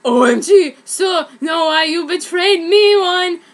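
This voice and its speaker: A woman's voice: very high-pitched, lively intonation, slow pace, exaggerated voice. A woman voicing a whiny, self-adoring, melodramatic teenage girl heroine in a story reading. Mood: betrayed.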